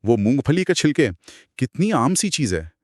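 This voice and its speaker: A man co-hosting a podcast, retelling a horror story in a dramatic, suspenseful way with pauses. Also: medium pitch, big pitch swings, no room sound, studio quality. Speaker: podcast co-host.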